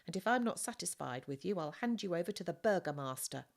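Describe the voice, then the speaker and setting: A woman's voice: lowish voice, lively intonation, fast talker, gravelly. A woman voicing a jovial, blustering and talkative male farmer in an audiobook of a children's novel, teasing and emphatic. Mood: wry, teasing. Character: jovial, blustering, talkative German farmer, gruff but kindly.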